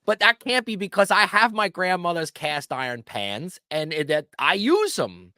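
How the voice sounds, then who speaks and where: Man, host, animated, bright voice, dry sound, playful questions. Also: high voice, moderate pace, no room sound. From Pennsylvania. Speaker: channel host. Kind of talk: casual chat.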